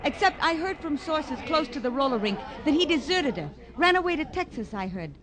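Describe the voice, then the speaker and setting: High, brash American roller-derby girl. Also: big pitch swings, brisk. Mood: breezy, chatty. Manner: chatty, literal-minded, cheeky. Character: brash, breezy, scatterbrained female roller derby skater. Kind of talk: 1950s radio comic character acting. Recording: old radio recording, crowd behind.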